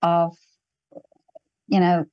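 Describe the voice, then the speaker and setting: A woman's voice: lowish voice, monotone, extremely slow, clean tone, highs cut off. Hesitant South African woman in her sixties. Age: 60s. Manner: hesitant, searching phrasing with repeats. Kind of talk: reflective casual conversation.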